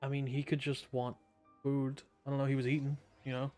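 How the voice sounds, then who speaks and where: Low-voiced playful young woman streamer. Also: level tone, fairly quick, dark tone. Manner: playful, joking. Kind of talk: casual playthrough commentary. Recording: game music faint behind.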